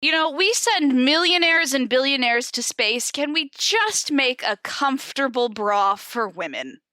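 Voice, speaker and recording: A woman's voice: high-pitched, lively intonation, measured pace, sharp tone, no echo. A woman co-hosting a comedy podcast, giggly and exclamatory, moving between casual chat and mock-dramatic story reading. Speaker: podcast co-host.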